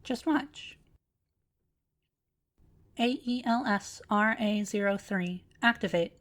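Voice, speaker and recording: A woman playing an ancient, courteous automaton librarian in a scripted audio roleplay, speaking formally with precise, measured diction. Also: mid-range voice, fairly bright tone. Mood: calm, instructive. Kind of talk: scripted roleplay audio drama addressed to listener.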